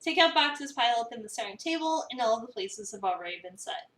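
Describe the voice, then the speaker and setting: A woman narrating a visual novel as an expressive first-person dramatic reading. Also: a little high, a little fast. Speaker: narrator, streamer. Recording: roomy, echoey, distant mic.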